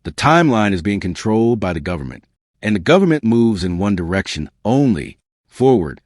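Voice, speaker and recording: A man delivering scripted, dramatic commentary as a host, speaking straight to the listener with emphatic repetition and pauses. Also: lowish voice, no room sound, clean studio sound. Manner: addresses the listener directly. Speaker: host of an online celebrity scandal commentary show.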